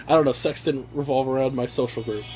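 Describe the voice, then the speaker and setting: A man's voice: medium pitch, fairly even intonation, brisk, a little mellow, faint music behind. A young man reading aloud to people he knows in a mock-earnest, sweary, jokey way, slipping into casual asides. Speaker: reader among his brothers. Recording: noisy amateur home recording.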